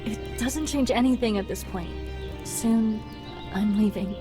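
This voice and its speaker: A woman's voice: mid-range voice, unhurried, over music, close to an everyday voice. A woman playing a weary, emotional village woman in a dramatic fantasy game cutscene. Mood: weary, resigned.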